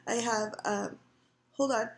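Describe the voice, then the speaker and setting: Middle-aged woman vlogger, raspy sore throat, slightly high pitch, loose rambling delivery, home webcam sound. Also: steady tone, takes it slowly. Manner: rambling, chatty, frequent ums and throat clearing. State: scratchy irritated throat. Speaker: craft vlogger. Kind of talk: casual chat to webcam. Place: living room couch at home. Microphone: computer webcam.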